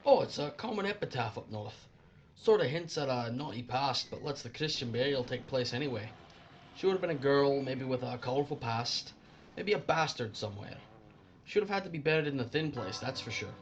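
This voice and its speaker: A man plays a cheery Scottish Underground worker in an audio drama. He talks in homely dialect, plain and matter-of-fact, at a middling male pitch. Clear background noise surrounds him. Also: expressive, fairly quick, lightly acted. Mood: explanatory. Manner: folksy, colloquial, matter-of-fact. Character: cheery working-class Scottish London Underground man, Percy. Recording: clearly noisy background ambience.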